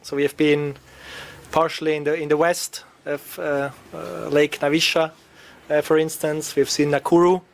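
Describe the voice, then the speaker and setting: A man's voice: fairly high pitch, flat delivery, moderate pace, vocal fry. A man from Switzerland, a radio interview guest, talking hesitantly with many filler sounds. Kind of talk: interview answers.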